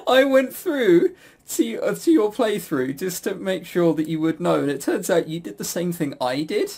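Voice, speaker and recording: Guy hosting a quiz, kinda high voice, really animated, teasing and giggly, just chatting. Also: measured pace, vocal fry, breathy edge. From a Western country. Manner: chuckly, teasing. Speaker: quiz host. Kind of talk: casual quiz banter.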